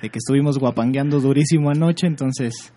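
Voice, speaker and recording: A man from the State of Mexico, a musician guest speaking in a relaxed, reflective way. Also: mid-range voice, a little fast, a little hiss or hum. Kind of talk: radio interview.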